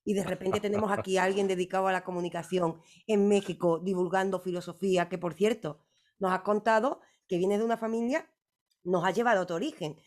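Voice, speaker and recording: A woman's voice: mid-range voice, steady tone, a little fast, darkish tone. A woman hosting an interview, chatty and rambling, with teasing remarks. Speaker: interviewer host. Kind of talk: casual interview talk.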